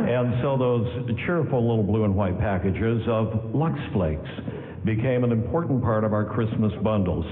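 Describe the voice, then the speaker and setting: A man announcing and narrating in formal, theatrical 1940s radio style. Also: fairly low pitch. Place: live on stage in a hall. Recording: phone-like, echoey hall broadcast, faint music.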